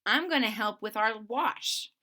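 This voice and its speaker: An earnest young girl from a children's storybook, as a woman reader acts out. Her voice is bright and high, with lively swings in pitch. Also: unhurried. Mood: instructing.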